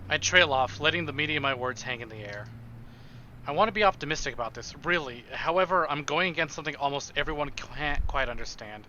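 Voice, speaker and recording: A man's voice: on the high side, fast talker, sharp tone, lo-fi sound, slight background noise. A man streaming a game, reading narration aloud expressively and dropping in casual, playful asides.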